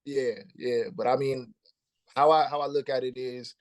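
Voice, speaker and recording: A man's voice: medium pitch, flat delivery, normal speed, dry recording. A man in his thirties, a musician guest talking casually in an interview, laid-back and slangy, often repeating words as he starts a thought. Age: 30s.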